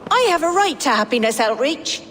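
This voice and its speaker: A woman playing a proud, sharp-tongued Saxon mother, emotional and imperious, in a video game cutscene with hall reverb. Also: sits high, sing-song, brisk. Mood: indignant. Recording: reverberant hall, background noise.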